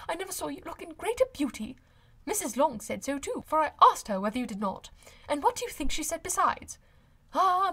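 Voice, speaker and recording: A woman voicing an excitable, boastful, gossipy middle-aged mother in an audiobook, gushing with emphatic, effusive delivery. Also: high voice, pitched above the performer's own voice, heavily acted. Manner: effusive, emphatic, drawn-out exclamations. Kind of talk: audiobook character acting of a classic novel.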